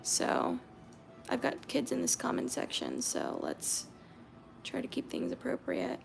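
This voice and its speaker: A young American woman from Georgia chatting casually with viewers on a painting livestream, reading their comments aloud and murmuring softly while she paints. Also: high-pitched, huge pitch swings, unhurried, vocal fry, a little hiss or hum. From Georgia, USA.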